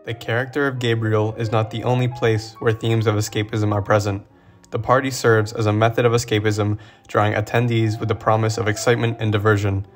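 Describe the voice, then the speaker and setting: Man narrating an essay, lowish voice, very flat intonation, scripted, soft music under. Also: a little fast.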